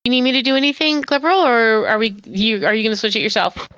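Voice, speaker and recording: A woman's voice: a little high, fast, fairly bright tone, smooth, no room sound, dull audio. A woman hosting a livestream in casual chat. She talks in a chatty, enthusiastic way. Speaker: livestream host.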